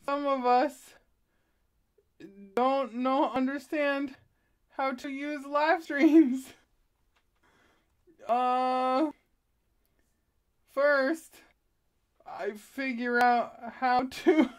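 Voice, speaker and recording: A woman chats live from her art studio, giggling at her own confusion over the stream. She speaks slowly in a fairly high voice. Her words are picked up by the laptop and camera mics around her. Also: fairly even intonation. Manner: self-deprecating, giggly, fumbling with the tech. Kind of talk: casual live stream chat. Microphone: laptop, DSLR and chest-mounted webcam.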